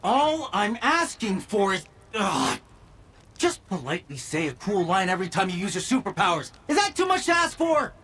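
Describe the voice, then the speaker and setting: A woman's voice: mid-range voice, big pitch swings, moderate pace, a bit bright, slightly rough. A woman playing a bratty, bossy, teasing youngster in a video game, with a cheeky, exaggerated way of talking. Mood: whiny exasperation. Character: bratty, bossy, teasing youngster who nags a grumpy warlord. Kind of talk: animated video game voice acting.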